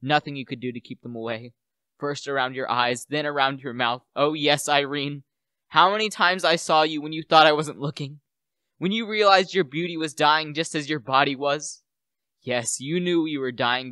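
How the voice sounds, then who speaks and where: A man's voice: high voice, sharp tone, clean tone, no echo. A young man playing a bitter, gloating widower who poisoned his wife, in a theatrical, brooding radio play monologue. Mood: brooding, menacing. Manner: melodramatic, brooding, declamatory.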